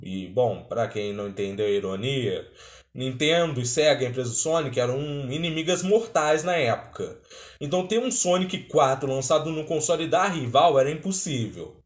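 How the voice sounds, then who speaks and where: A man's voice: mid-range voice, lively intonation, moderate pace. A man with a Brazilian accent giving casual, conversational reaction commentary as the host of a video. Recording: roomy, echoey sound.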